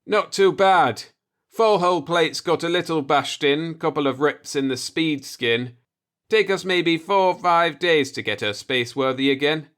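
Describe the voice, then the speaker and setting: A man's voice: on the high side, measured pace, a bit bright, clean tone, voice pushed up. A man voicing a plucky, wry male spaceship mechanic with clipped, slangy talk in a sci-fi audiobook. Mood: wry, unfazed. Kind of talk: storybook dialogue in a sci-fi audiobook.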